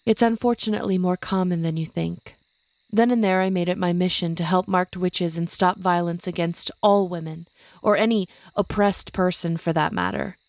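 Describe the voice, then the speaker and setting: An actress playing an older, hardened witch who leads and protects her coven, speaking in a grave, measured, commanding way in an audio drama. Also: lowish voice, fairly even intonation, close to an everyday voice. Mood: resolute.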